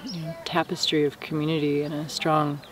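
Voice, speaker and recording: Low-voiced young woman from the United States. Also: level tone, music in the background. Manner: earnest, passionate, measured. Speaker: herbalist and permaculture teacher. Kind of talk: casual interview talk. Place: outdoors in a dry rural field. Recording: outdoor countryside noise. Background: birds in the countryside.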